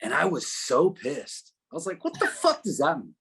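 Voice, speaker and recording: Husky, higher-voiced man chatting. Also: moderate pace. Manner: animated storytelling, frequent profanity and filler phrases. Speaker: musician guest. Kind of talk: casual interview chat.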